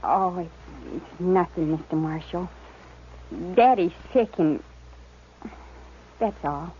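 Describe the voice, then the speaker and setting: A woman's voice: fairly low pitch, takes it slowly, a little hiss or hum. A woman playing a timid, earnest fifteen-year-old farm girl with a rural American accent in a 1950s radio western. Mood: reluctant. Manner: hesitant, naive, earnest. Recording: muffled vintage radio recording.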